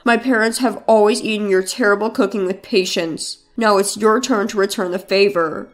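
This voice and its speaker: A woman's voice: medium pitch, fairly even intonation, moderate pace, pitched below the performer's own voice, natural voice. A woman voicing a selfish, contemptuous husband in a story, with a curt, dismissive manner. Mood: haughty, contemptuous. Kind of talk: dramatized story narration.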